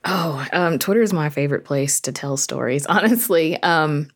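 A woman's voice: deep voice, lively intonation, moderate pace. A woman speaking as a guest in a conversational interview, informal and reflective, telling things like stories. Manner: informal, reflective storytelling with quoted asides. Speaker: guest, horror fiction writer and podcast creator.

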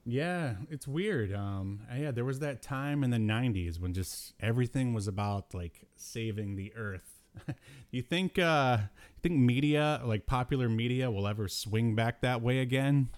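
A man hosting a podcast, chatting casually about old cartoons in a halting, hesitant way. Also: mid-range voice. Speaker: podcast host.

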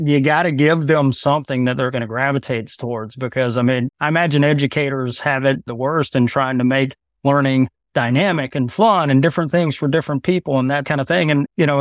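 A man in his thirties talks bluntly and with animation as a podcast guest, speaking in a loose conversational way. His voice is mid-pitched and recorded dry and close with no room sound. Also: dry recording. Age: 30s. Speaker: podcast guest, video business owner.

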